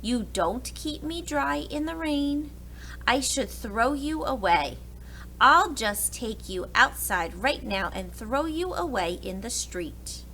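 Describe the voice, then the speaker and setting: A woman voicing a grumbling, fretful but soft-hearted old uncle in a storybook, speaking in emphatic exclamations. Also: fairly high pitch, lively intonation, slow pace, slight background noise, voice pushed up, heavily acted, poor audio. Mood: fretful, dithering. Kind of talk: storybook character voice.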